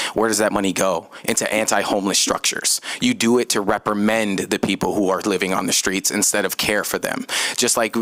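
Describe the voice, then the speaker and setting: A man's voice: fairly low pitch, a bit bright, no room sound. An American man giving an impassioned public comment as an activist, speaking emphatically and repeating phrases for weight. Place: city commission meeting room.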